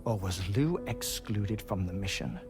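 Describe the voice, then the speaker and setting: An actor playing a measured, formal investigator who explains things deliberately and courteously in a video game cutscene. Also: male voice, lowish voice, over loud music. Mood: grave, questioning. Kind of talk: dramatic expository game cutscene dialogue.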